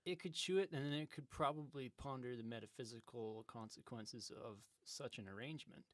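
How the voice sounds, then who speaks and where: A man's voice: mid-range voice, fairly quick, fairly bright tone, dry recording. A man improvising mock-serious, rambling pseudo-scientific banter.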